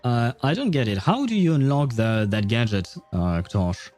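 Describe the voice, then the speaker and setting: A man's voice: mid-range voice, animated, moderate pace, a little mellow, faint music behind. A man hosting a casual gaming livestream, chatting with viewers in a rambling, hesitant way. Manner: hesitant, rambling, chuckling often. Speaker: livestream host.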